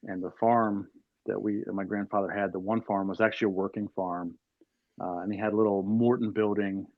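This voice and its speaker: A man's voice: lowish voice, steady tone, a little fast, no echo, phone-quality sound. A man in his forties from Maryland, telling stories casually as a podcast guest, with many fillers and restarts. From Northern Carroll County, Maryland, USA. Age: 40s. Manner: rambling, lots of fillers and restarts. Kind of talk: casual storytelling chat.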